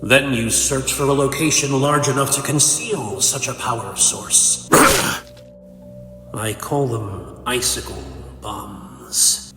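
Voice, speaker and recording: A man's voice: medium pitch, noisy background. A man playing a cold, bitter, cerebral supervillain in a comic dub, speaking in a theatrical, condescending, verbose manner. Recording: echoey hall reverb, faint music behind.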